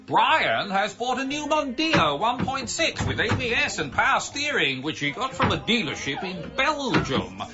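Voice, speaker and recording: An oblivious old father who rambles pedantically. His pitch is highish and swings widely. It is a radio comedy, slightly dull in sound, with loud music. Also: sing-song, a little slow, hardly any pauses. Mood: chatty, oblivious. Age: older.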